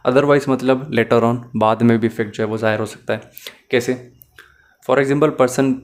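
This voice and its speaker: A man teaching a law lecture, explaining each point step by step and mixing English legal terms into his Hindi. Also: mid-range voice.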